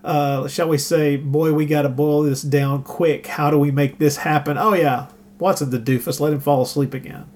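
A man's voice: a little high, steady tone, normal speed, darkish tone. A man hosting a podcast in a casual, chatty style. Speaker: podcast host. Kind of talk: casual chat. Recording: faint hum behind the voice.

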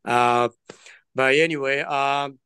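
A man, his voice smooth and resonant. He tells his story earnestly, pausing and circling back as he goes. The sound is close and dry, with no room echo. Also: mid-range voice, steady tone, bright, forceful tone. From Nepal. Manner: earnest, digressive, hesitant phrasing. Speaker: trekking guest. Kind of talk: interview storytelling.

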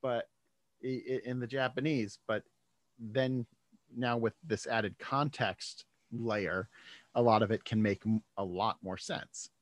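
A man's voice: medium pitch, steady tone, slow pace, dry recording. A man hosting a podcast, chatting casually and thinking aloud, often starting sentences over. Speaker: podcast host. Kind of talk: casual conversation.

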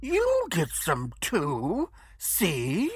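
Husky, patronizing henchman, swooping wildly. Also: mid-range voice, huge pitch swings, no room sound, clean studio sound, pitched above the performer's own voice, full character voice. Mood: scornful. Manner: patronizing, drawn-out, emphatic. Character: patronizing, pompous chinless man, eccentric artist's henchman.

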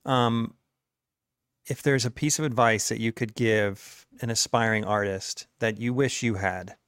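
A man from New York hosting an art podcast, speaking in a measured, appreciative way with hesitant restarts. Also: on the low side, steady tone, a bit bright, clear, resonant tone, no echo, studio quality. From New York, USA. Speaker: podcast host. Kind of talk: casual interview and show outro.

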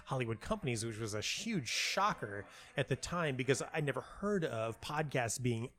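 A middle-aged man with a medium-pitched voice tells anecdotes in conversation as a guest. He stammers and doubles back on words, then plays out other people's lines as he quotes them. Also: lively intonation, normal speed, a bit bright. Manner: stammering, repeats words as he goes; animatedly acts out quoted dialogue. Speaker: interview guest, playwright and audio dramatist.

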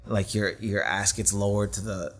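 A man's voice: lowish voice, fairly even intonation, moderate pace. A man in his late thirties from Canada, a stand-up comedian telling stories in a casual interview, rambling and self-deprecating with slangy asides. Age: 30s.

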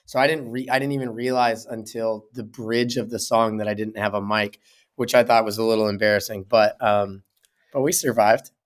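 A man's voice: fairly low pitch, fairly even intonation, normal speed. A man, a musician guest, talking casually in an interview, often repeating words as he gathers his thoughts.

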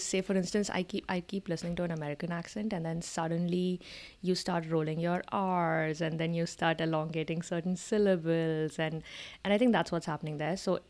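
A woman's voice: fairly low pitch, a little mellow. A woman in her thirties with an Indian accent, co-hosting a podcast in a casual chat, explaining things with fillers and laughs. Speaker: podcast co-host.

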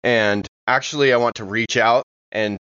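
A man's voice: mid-range voice, steady tone, moderate pace, bright, forceful tone, smooth, no room sound. A man playing a tabletop game, thinking aloud in casual, rambling role-play on a slightly dull recording. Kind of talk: casual improvised role-play, describing own actions.

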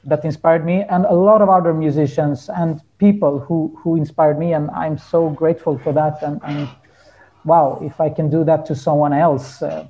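A man talking reflectively as an interview guest, earnest and halting as he searches for his words. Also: fairly high pitch, fairly even intonation, dark tone, dull audio. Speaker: guest musician.

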